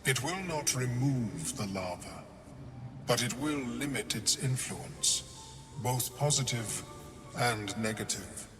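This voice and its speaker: An actor playing an ancient, courteous, calculating mind flayer in a video game, speaking formally and deliberately. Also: male voice, medium pitch, animated, over music. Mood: calm, calculating. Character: ancient, courteous, calculating male illithid, calm and cerebral. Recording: long cavernous reverb.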